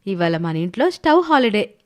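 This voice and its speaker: A woman's voice: mid-range voice, big pitch swings, moderate pace, lightly acted. A woman playing a stubborn, thrifty young village wife who explains herself earnestly in a moral story cartoon. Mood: brisk, persuasive. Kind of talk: animated moral story voice acting.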